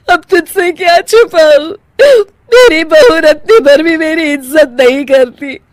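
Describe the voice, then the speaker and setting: A woman's voice: sits high, takes it slowly, no echo. A woman voicing a meek older mother-in-law in a cartoon, crying and plaintively pitying herself. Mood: tearful, self-pitying. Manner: whiny, sobbing, plaintive.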